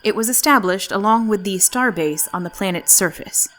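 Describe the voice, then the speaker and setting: A woman's voice: medium pitch, moderate pace, a bit bright, slight background noise, lightly acted. A woman playing a coolly logical starship science officer in a sci-fi audio drama, speaking formally and precisely. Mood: cool, composed.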